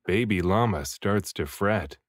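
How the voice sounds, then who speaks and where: A man reading a children's picture book aloud expressively, with deliberate, rhythmic phrasing of the rhymes. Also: on the low side, no echo, studio quality. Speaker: children's book reader, voice actor.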